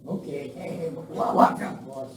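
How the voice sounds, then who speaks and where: A woman's voice: rumbling low, unhurried. A woman playing a bumbling, flustered henchman in a Western radio play. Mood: flustered, defensive. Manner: stammering, flustered. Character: bumbling, nervous henchman outlaw, flustered by the boss. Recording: echoey hall, distant mic, faint noise.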